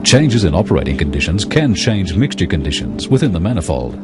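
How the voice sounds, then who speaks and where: A man reading scripted technical narration for an educational film in a measured, explanatory, impersonal manner. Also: low voice, big pitch swings, over loud music.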